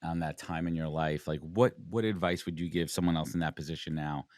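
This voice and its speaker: A man's voice: very deep voice, fairly even intonation, brisk, a little mellow, no room sound, clean studio sound. A man in his forties hosting a casual interview, emphatic and repeating words as he launches into questions. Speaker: podcast host.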